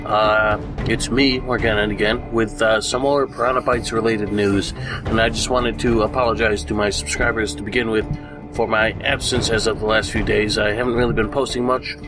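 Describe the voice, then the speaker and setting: A man's voice: fairly low pitch, flat delivery, moderate pace, over music, rough recording. A man who sounds congested from a recent illness gives a casual, rambling news update for his channel, full of fillers and restarts. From United States. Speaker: gaming YouTuber.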